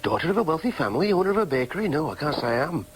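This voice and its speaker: Earnest lad, high animated voice. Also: male voice, rapid-fire, breathy edge. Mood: pensive, questioning. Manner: hesitant, stammering, plain-spoken. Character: earnest, bewildered working-class young man seeking his parents. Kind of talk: radio drama acting.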